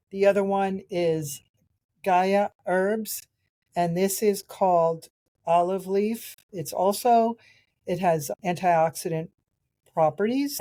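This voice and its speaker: Middle-aged woman host, a cold has made her raspy. Lowish, warm voice, chatty and rambling, recorded outdoors. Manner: chatty, rambling, with restarts and fillers. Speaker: podcast host and nutrition practitioner. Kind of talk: casual solo podcast talk reviewing products.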